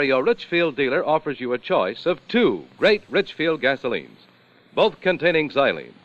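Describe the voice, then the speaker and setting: A man's voice: fairly high pitch, a bit bright, muffled recording. An American man reading a 1950s radio commercial as announcer, emphatic and salesmanlike. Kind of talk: 1950s radio advertisement read.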